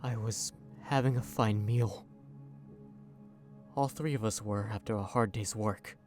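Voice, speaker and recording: A man voicing a young shapeshifter, shaken and halting, recounting how his humanity was taken. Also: on the low side, a little mellow, soft background music, close to an everyday voice. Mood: haunted. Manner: halting, haunted, breaking into cries. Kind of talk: audio drama acting.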